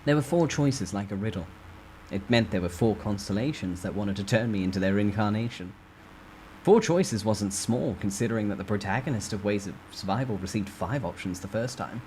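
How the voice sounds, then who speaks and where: A man reading a novel aloud as a storyteller, with expressive, theatrical emphasis in a dramatic first-person narration. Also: lowish voice, fairly quick, a little hiss or hum, low-quality recording.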